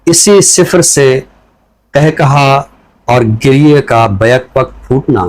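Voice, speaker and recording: Male, Pakistani accent, mid-pitched voice, literary presenter with measured phrasing. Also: rough recording. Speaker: literary show presenter reciting a poem and introducing a book. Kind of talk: poetry recital and literary talk.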